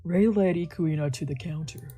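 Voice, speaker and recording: Husky low-voiced woman narrating a visual novel. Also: moderate pace. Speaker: streamer reading a visual novel aloud. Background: soft game music.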